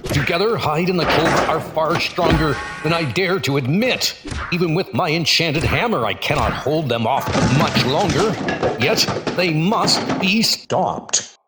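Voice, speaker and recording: A man's voice: fairly high pitch, animated, measured pace, very noisy. A man voicing a mighty, noble thunder god hero in a comic book dub, speaking in grave, archaic, formal phrases. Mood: urgent, brooding. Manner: grandiose, archaic formal speech. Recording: music under the voice.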